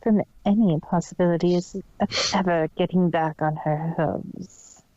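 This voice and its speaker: Woman voicing a slow, low, gloating female villain. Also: steady tone, dark tone, no echo, dull audio, natural voice. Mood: cruel, gloating. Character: cruel scheming female villain relishing control.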